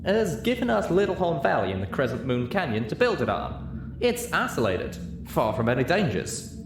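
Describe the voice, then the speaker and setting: A man's voice: fairly high pitch, wildly swooping pitch, moderate pace, over music, pitched above the performer's own voice. A man voicing a shy but eager young female pony architect in a story reading. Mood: bashful eagerness. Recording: echoey room with clear background noise.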